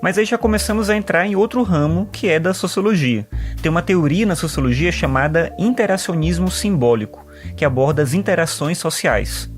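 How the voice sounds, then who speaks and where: A man's voice: on the high side, expressive, a little fast, few pauses, natural voice. A man playing a talkative male philosopher and sociology teacher in an audio drama, earnest and fond of quoting thinkers, with faint music underneath. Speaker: patient.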